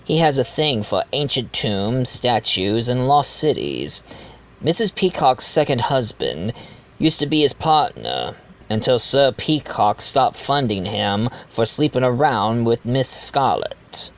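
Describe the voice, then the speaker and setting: A man plays a genial widowed doctor hosting a mystery dinner in a fan audio drama. He talks slowly with lively swings of pitch, confiding and a bit hesitant. The recording is a little dull and lo-fi. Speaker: narrator and host of the dinner party. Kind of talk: fan audio drama acting with narration asides.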